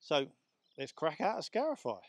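A man's voice: on the high side, sing-song, normal speed, dry recording. Chatty man outdoors, dry sound. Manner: chatty, rambling with fillers. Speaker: lawn care presenter. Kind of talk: casual outdoor demonstration. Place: outdoors in a large garden. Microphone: clip-on lapel mic.

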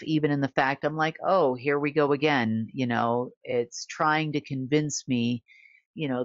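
A woman's voice: rumbling low, no echo, dull audio. Rambling middle-aged woman, dry studio sound. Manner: rambling, many fillers, laughs often. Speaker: guest reporter. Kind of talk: unscripted monologue to camera. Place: studio with plain backdrop.

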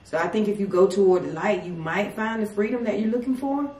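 A woman, leading a paranormal investigation and asking a spirit slow, polite questions. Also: medium pitch, a little mellow. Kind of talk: spirit communication session. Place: dim salon at night. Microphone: far camera. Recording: echoey room from far off.

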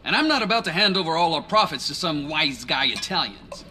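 A man's voice: on the high side, sing-song, a little fast, pushed and bright, heavily acted. A man playing an irascible, shrewd crime boss in a video game cutscene, articulate and commanding. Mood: firm, businesslike.

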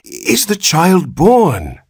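A man voicing a frantic, anxious Southern gentleman awaiting news of his child, pleading in a high, gasping voice. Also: wildly swooping pitch, drawn-out words, slightly rough, no echo, clean studio sound, heavily acted.

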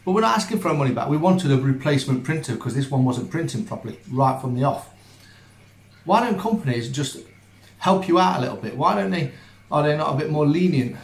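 A man's voice: on the high side, animated, a little fast. A man, chatting casually and matter-of-factly to camera as a vlogger. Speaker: vlogger and youth football coach. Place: changing spots: rooms at home, doorstep, open outdoors. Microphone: phone at arm's length. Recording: clear background noise, outdoor ambience.

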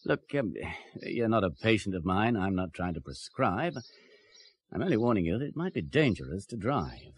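Wry English country doctor, lowish husky voice, matter-of-fact, concerned, vintage radio play. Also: male voice, very animated, normal speed. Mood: wry, insistent. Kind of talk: 1970s British radio drama acting.

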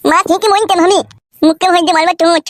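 A woman voicing a cheeky, foul-mouthed little village boy in a comedy cartoon, with a rural West Bengal accent and a pitched-up voice. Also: fairly even intonation, quick pace, no room sound. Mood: brash, cheeky. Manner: brash, mouthy.

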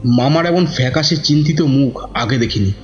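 A man's voice: mid-range voice, moderate pace, close to an everyday voice. A man playing a devoted young nephew who narrates a horror audio drama in a dramatic, scripted style. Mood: tense narration. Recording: dull lo-fi, music behind.

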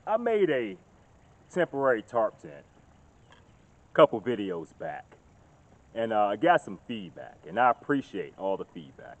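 A man in his thirties talking casually to a handheld phone camera outdoors in the woods, getting loud and excited as he shows off his work. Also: fairly low pitch, measured pace, long pauses. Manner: chatty, gets loud and excited showing off. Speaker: outdoors vlogger. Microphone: phone camera held at arm's length. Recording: dull lo-fi phone audio outdoors.

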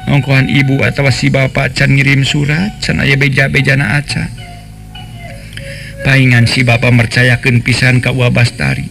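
A man's voice: medium pitch, gravelly, fairly bright tone. A man voicing an anxious young woman who muses and complains in earthy colloquial speech, in a dramatised folk tale. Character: anxious young woman, trusting niece, resentful of lecherous uncle. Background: faint music.

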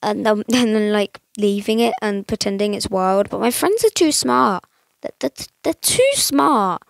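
A young woman chatting casually over a mobile game, rambling with lots of fillers, her voice a little croaky today. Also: mid-range voice, animated, a little slow, dry recording, clean studio sound. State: slightly croaky voice. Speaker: gaming channel host. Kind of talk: casual gameplay commentary.

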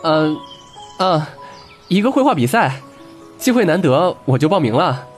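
A reserved, soft-hearted schoolboy in an audio comic, played by a man. He talks slowly and haltingly, with shy swings in pitch. Faint music sits underneath. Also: big pitch swings. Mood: modest. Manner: hesitant, halting.

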